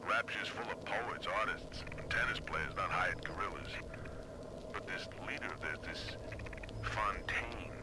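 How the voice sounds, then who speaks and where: A man's voice: deep voice, heavy background noise, natural voice. An actor playing a cynical, hard-boiled lawman recording a video game audio diary.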